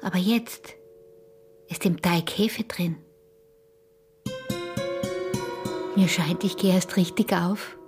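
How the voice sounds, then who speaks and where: An actress playing a defiant, seductive smuggler's wife in a radio drama, with an Austrian accent and a taunting, commanding manner. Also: female voice, on the low side, a little slow, music in the background. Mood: triumphant, mocking. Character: defiant, seductive smuggler's wife, scornful and newly self-assured.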